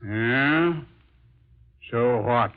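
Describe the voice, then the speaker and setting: A man's voice: medium pitch, very slow. An actor playing a brash, skeptical policeman, slangy and blustery, in 1940s radio drama style. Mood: mocking skepticism. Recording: thin, tinny old radio recording.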